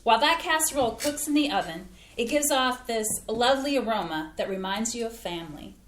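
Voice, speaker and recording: A woman's voice: fairly high pitch, lively intonation, brisk, a bit bright. A woman acting a sincere, homespun mother and home cook in a scripted staged play reading. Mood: tender, earnest. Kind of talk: scripted community theatre acting. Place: indoor playhouse staged reading. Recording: echoey room with background noise.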